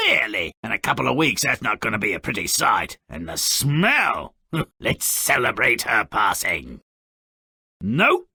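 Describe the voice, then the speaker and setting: A man voicing a sardonic, grotesque little dwarf storyteller in a video game, gleefully malicious and melodramatic, with a raspy, gravelly voice and a sneering, mocking delivery. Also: mid-range voice, pushed and bright, dry recording, clean studio sound, heavily acted. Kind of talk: theatrical video game character narration.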